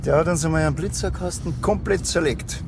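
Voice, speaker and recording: A man with a Bavarian accent playing a laconic village policeman, dry and deadpan. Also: a little high, a little fast, gravelly, over music.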